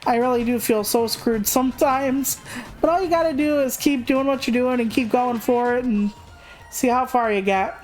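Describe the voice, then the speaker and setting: A woman's voice: fairly high pitch, moderate pace, darkish tone, soft background music, rough recording. A woman livestreamer chatting casually in a candid, rambling, self-deprecating way, swearing freely. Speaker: video game livestreamer.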